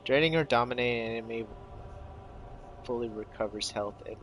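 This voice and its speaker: A man's voice: medium pitch, brisk, noisy background. A man giving casual live commentary as he plays a video game.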